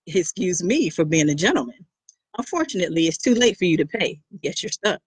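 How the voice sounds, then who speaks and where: A woman's voice: fairly low pitch, sing-song, fairly quick, husky, dry recording, exaggerated voice. A woman voicing a cocky young guitarist. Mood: teasing. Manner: teasing, self-assured.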